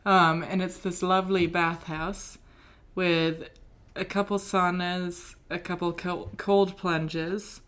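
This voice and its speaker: A woman's voice: lowish voice, little pitch movement, takes it slowly, a bit bright. A young British woman co-hosting a podcast, telling a story in a casual, rambling way with many ums and likes. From Britain. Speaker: podcast co-host. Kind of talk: casual chat.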